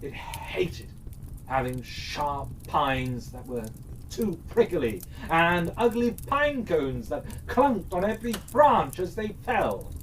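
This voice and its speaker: A storyteller narrating a children's fairytale expressively, with dramatic, deliberate phrasing. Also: medium pitch, big pitch swings. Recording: slightly distant mic, faint noise.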